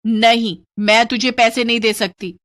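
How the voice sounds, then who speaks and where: A woman's voice: a little high, steady tone, a little slow, fairly bright tone, voice pushed up. A woman doing a curt, demanding neighbour woman. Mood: scolding. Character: demanding neighbour women, brisk and curt customers.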